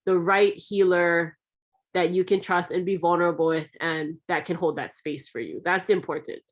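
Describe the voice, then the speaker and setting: A woman hosting an interview, chatty and encouraging, talking in long, quick runs. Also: fairly low pitch, steady tone. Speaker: interview host. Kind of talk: casual interview conversation.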